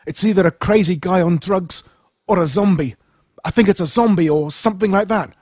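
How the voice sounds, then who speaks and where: A man acts out a protective young Australian boy in a horror story. The delivery is urgent and emphatic, pitched up, with a slightly husky tone. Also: a little fast, a little mellow, dry recording, clean studio sound. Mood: urgent warning. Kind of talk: acted dialogue in horror audiobook.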